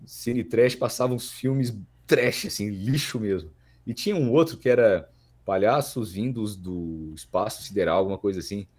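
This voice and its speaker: A man's voice: lowish voice, moderate pace, a little mellow. A Brazilian man in his thirties chatting casually as a podcast guest, repeating words as he thinks aloud. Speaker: voice actor guest. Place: small plain-walled room indoors.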